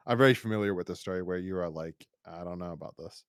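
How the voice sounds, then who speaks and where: A man's voice: sits low, quick pace, clean tone, no echo, clean studio sound. A man co-hosting a podcast, chatting casually and enthusiastically through a review in a rambling way. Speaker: podcast co-host.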